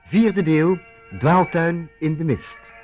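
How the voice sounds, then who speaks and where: Highish male radio serial announcer over music. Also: fairly even intonation, slow, a little mellow. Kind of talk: scripted radio serial introduction.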